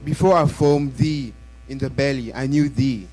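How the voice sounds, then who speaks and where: A man interpreting a sermon live in church in short bursts, halting and rephrasing as he goes. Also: mid-range voice, a little mellow, poor audio. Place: church, before a congregation. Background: faint music.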